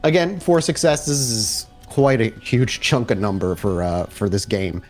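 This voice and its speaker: A man working as game master, improvising narration casually and haltingly as he thinks aloud. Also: fairly low pitch, sing-song, faint music behind.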